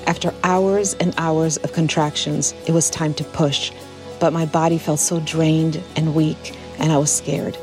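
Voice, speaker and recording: A woman reading her own personal story aloud in a soft, earnest, reflective way. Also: sits low, flat delivery, a little slow, over music.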